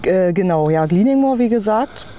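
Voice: medium pitch, lively intonation, normal speed, dark tone, a little hiss or hum. A local guide speaking casually outdoors, giving plain explanations and short replies. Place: outdoors in woodland and bog.

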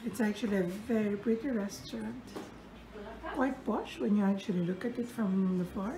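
A woman's voice: mid-range voice, moderate pace, poor audio. Chatty woman vlogging in a restaurant. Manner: chatty, laughs often. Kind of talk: casual restaurant vlog. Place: busy restaurant indoors. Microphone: handheld phone. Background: crowd chatter.

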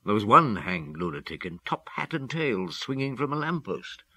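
A man acting as a brooding middle-aged police detective, narrating a radio drama in a measured, dramatic way. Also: medium pitch, sing-song, pushed and bright, husky, no echo, studio quality, heavily acted. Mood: grim, brooding.

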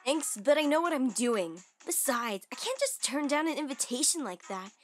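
A woman voicing a trusting, tender young cartoon wolf boy, an earnest and expressive little brother in a comic dub. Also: sits high, moderate pace. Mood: earnest, defensive. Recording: music under the voice. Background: background music.

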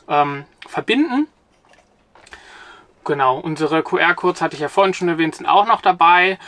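A man's voice: fairly high pitch, pushed and bright, no echo. A man in his twenties explaining a product to the camera from inside a parked camper van, hesitant, with many filler sounds and restarts. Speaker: company product presenter.

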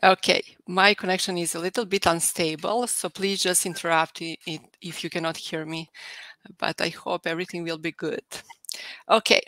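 A woman's voice: fairly low pitch, level tone, normal speed, fairly bright tone, no echo. A young woman from Serbia with a Serbian accent gives an academic lecture over a video call, hesitant with many filler sounds. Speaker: guest lecturer. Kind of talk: online academic talk. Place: home room by video call. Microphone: headset.

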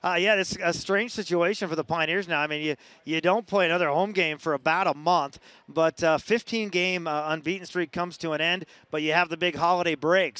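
A male sports radio host with a fairly high, very clean and smooth voice, conducting a post-game interview. He builds long questions haltingly, piece by piece. The sound is close and dry. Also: a bit bright, dry recording.

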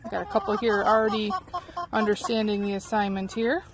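Middle-aged woman, informal farm how-to vlogger, medium pitch, handheld phone outdoors with chickens and light noise behind. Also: little pitch movement, fairly quick. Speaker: chicken keeper presenting a how-to. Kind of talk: casual chatty how-to vlog. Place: outdoors in a farmyard chicken run. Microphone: handheld phone at arm's length. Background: chickens clucking, outdoor noise.

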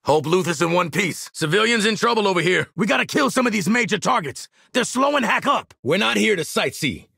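A hard-edged American mercenary sharpshooter for a video game, fairly high for a man and swinging widely in pitch. He is cocky, sardonic and blunt as he orders his squad around, in a dry, close recording. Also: animated, a little fast, vocal fry, few pauses, pushed and bright, breathy edge, dry recording, heavily acted. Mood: urgent, commanding. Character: hard-edged male mercenary marksman, sardonic, confident, bossy. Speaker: squad fighter. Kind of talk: video game combat voice lines.

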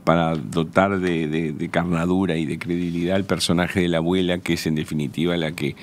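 An older man from Uruguay with a low-pitched voice and a Uruguayan accent. He speaks as an interview guest in a radio studio, close to the mic. His explanations are measured and conversational, with searching pauses. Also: level tone, slight background noise. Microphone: close studio microphone on a stand.

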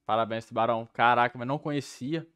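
A man's voice: medium pitch, quick pace, noisy background. A young man with a Brazilian accent giving casual, slangy commentary as a reaction host on a headset mic. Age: young adult. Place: small room indoors.

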